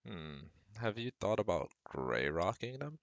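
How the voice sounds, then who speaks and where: A man's voice: on the low side, sing-song, a little slow, a bit bright, no room sound, highs cut off, heavily acted. A young man playing a caring, protective best friend and psychology student in a scripted ASMR roleplay, speaking earnestly and reassuringly to the listener. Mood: frank, supportive. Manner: reassuring, earnest, talks directly to a close friend.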